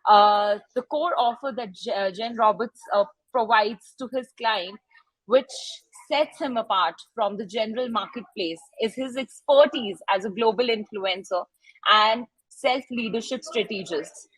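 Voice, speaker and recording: A woman introducing a guest speaker in a polite, formal way, pausing on fillers as she reads. Her voice is husky, and the sound is dry and close with no room around it. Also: on the high side, a bit bright, dry recording, low-quality recording. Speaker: session moderator.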